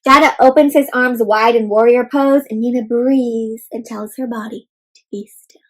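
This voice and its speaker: A woman hosting an interactive picture-book read-aloud for young children, expressive and speaking directly to her listeners with questions. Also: fairly high pitch, moderate pace.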